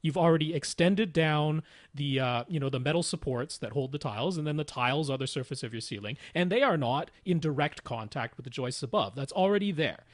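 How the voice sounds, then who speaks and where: A man's voice: a little high, dry recording, studio quality. A man co-hosting a podcast, casually explaining technical matters in a methodical, step-by-step way. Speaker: podcast co-host.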